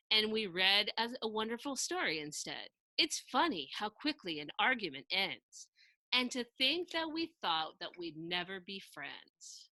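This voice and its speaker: A woman reading a children's picture book aloud in an expressive, theatrical, sing-song way with emphatic rhyming delivery. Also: medium pitch, dry recording, rough recording. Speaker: storybook narrator reading aloud.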